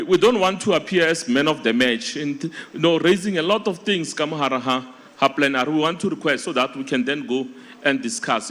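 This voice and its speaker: A South African man speaking as a delegate from the floor, making formal, halting appeals with repeated words. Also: a little high, a bit bright. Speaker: provincial delegate. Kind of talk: addressing a congress from the floor.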